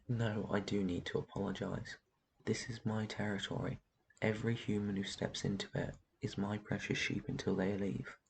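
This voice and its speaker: A man playing a very old, protective vampire master in an intimate roleplay ASMR, speaking gently and reassuringly with tender endearments. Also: lowish voice, gravelly, a little hiss or hum. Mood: soothing, apologetic. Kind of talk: roleplay ASMR, intimate one-sided dialogue.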